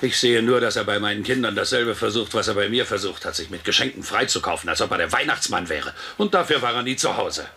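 Bright, forceful sarcastic husband. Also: male voice, fairly low pitch, fast talker, gravelly, few pauses, a little hiss or hum. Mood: resentful. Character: resentful working-class husband and father, sarcastic. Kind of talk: German-dubbed sitcom acting.

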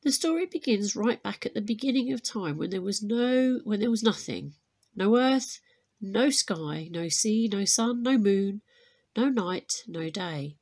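Woman reading aloud with very animated pitch. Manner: expressive, deliberate storytelling. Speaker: primary school teacher reading to pupils. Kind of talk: expressive storybook read-aloud with explanatory asides.

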